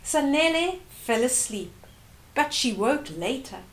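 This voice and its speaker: An older woman reading a bedtime story aloud to young children, gently and with asides and questions to the listener. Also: high-pitched, lively intonation, slow pace, a little hiss or hum.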